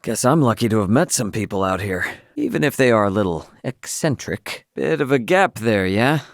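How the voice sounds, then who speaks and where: An actor with an American accent playing a brash, easygoing spacefaring hero in a video game, with a cocky, slangy, joking delivery. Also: male voice, fairly low pitch, expressive, moderate pace, dry recording, clean studio sound. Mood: casual, musing. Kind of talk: video game voice lines, battle barks and chatter.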